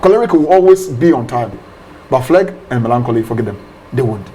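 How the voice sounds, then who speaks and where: A man, a pastor explaining ideas in an interview, animated and often repeating words. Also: medium pitch, brisk, a little hiss or hum. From Ghana.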